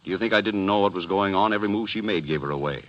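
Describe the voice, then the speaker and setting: A man's voice: low voice, dull audio, close to an everyday voice. A man playing a suspicious, smug, menacing middle-aged stepfather in a 1940s radio drama. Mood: smugly menacing. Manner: insinuating, deliberate. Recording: band-limited vintage 1940s radio recording.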